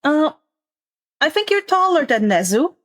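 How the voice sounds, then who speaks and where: Female game master, high voice, unhurried narration of a fantasy tabletop game. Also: sing-song, fairly bright tone.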